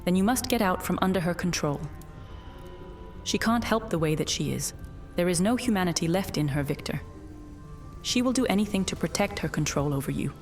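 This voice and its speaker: A woman's voice: on the low side, animated, fairly quick, close to an everyday voice. A woman playing an ancient witch in a fantasy audio drama, speaking in an earnest, portentous and formal way. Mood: earnest, pleading. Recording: music under the voice.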